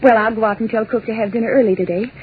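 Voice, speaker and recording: A woman's voice: fairly high pitch, steady tone, rapid-fire, natural voice. A woman plays an evasive, genteel wife in an old radio melodrama. She speaks lightly and placatingly. The recording is tinny, with background hiss. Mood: nervously breezy. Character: evasive, genteel wife soothing a suspicious husband. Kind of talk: 1940s radio drama acting.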